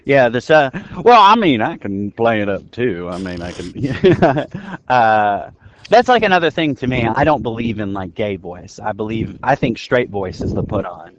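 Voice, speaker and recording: A man with a Southern accent chatting casually as a podcast co-host, animated, with lots of fillers and a soothing voice. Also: medium pitch, unhurried. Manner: animated, many fillers, loud-soft swings. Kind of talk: casual chat. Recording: muffled lo-fi with faint hiss.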